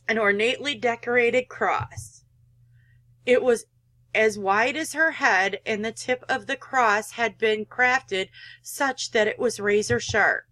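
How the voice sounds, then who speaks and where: A woman's voice: on the high side, pushed and bright. A woman hosting a gaming video, reading a visual novel aloud and reacting with emotional investment. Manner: emotionally invested, protests to the game. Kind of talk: read-aloud narration with personal reactions.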